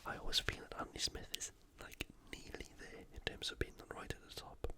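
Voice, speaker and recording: A host giving casual, unhurried sports commentary in a breathy, unvoiced ASMR whisper. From United Kingdom. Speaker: host of ASMR fight preview videos.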